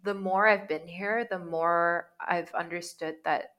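A woman in her thirties from Canada, a guest chatting casually, animated and full of "like"s. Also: fairly low pitch, a little slow, muffled recording. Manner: chatty and animated, many "like"s, laughs easily. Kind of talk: casual conversation.

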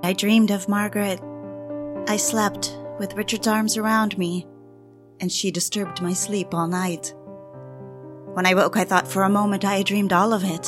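A woman's voice: mid-range voice, flat delivery, normal speed, over music, natural voice. A woman playing a devoted, dreamy young woman in love in an intimate first-person audio drama. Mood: dreamy, content.

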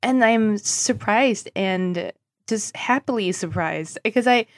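A woman from Singapore chatting casually as a podcast guest, informal and animated in manner. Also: mid-range voice, slow pace, no echo. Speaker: podcast guest, tech journalist. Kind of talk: casual chat.

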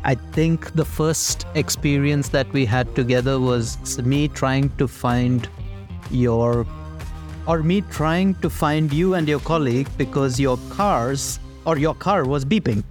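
A man's voice: medium pitch, slow, faint music behind. A young man hosting an interview podcast, chatting casually in a friendly, rambling way full of fillers. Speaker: podcast host.

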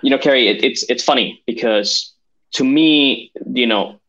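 A man's voice: mid-range voice, normal speed, fairly bright tone, muffled recording. A man from Venezuela talking passionately about politics, with frequent restarts and fillers. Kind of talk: political discussion.

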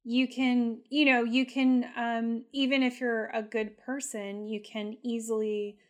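Woman podcast co-host, fairly high, relaxed and reflective, filler-heavy. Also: a little slow. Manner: thoughtful, many "like" fillers. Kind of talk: casual analytical chat.

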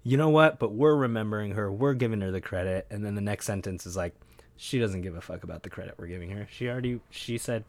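A man with a fairly low voice co-hosts a relaxed podcast. He reads passages aloud from a web page and keeps breaking off for wry asides. The sound is close and dry, with no room around it. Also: fast, no room sound. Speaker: podcast co-host. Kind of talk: casual chat, reading aloud from a web page.

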